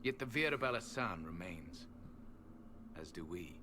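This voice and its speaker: A man playing an ancient, weary elven temple guardian in a fantasy video game, speaking formally and gravely. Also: low voice, animated, unhurried, background noise. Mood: solemn, stern. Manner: formal archaic phrasing, grave.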